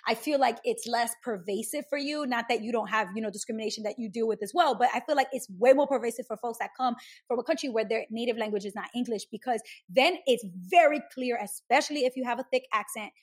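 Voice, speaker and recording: Fast-talking New York woman, husky. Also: a little high. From New York, Dominican family. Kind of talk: casual podcast chat.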